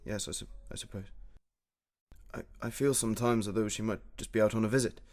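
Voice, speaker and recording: An actor playing an older, proud, grieving general in a radio play, speaking in a halting, formal way. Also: male voice, fairly low pitch, fairly even intonation, darkish tone, close to an everyday voice. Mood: subdued grief.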